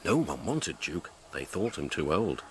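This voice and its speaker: A man's voice: deep voice, sing-song, a little slow, a bit bright, slight background noise. A man narrating a scripted children's story as a storyteller. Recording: music behind the voice.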